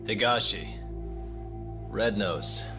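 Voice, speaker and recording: Over clear dramatic music, a fairly low-pitched younger man talks like a game's cool investigator. He is calm and pointed, with a dry, cheeky edge to his questioning. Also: extremely slow. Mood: probing, firm. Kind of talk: English video game dub acting.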